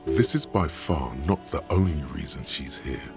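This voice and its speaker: A man voicing a detective's knowing, probing inner voice as measured narration in a video game. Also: very deep voice, expressive, gravelly, exaggerated voice. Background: game music.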